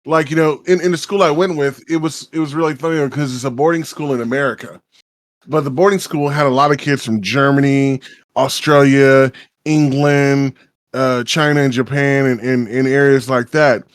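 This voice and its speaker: A man's voice: mid-range voice, fairly even intonation, moderate pace. A man chatting on a podcast in a small home studio, loose and rambling, dropping curses and fillers as he goes. His voice sits in a middle register and the sound is dry and close, with no room echo. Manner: rambling, profane, lots of fillers. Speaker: podcast co-host. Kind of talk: casual podcast chat.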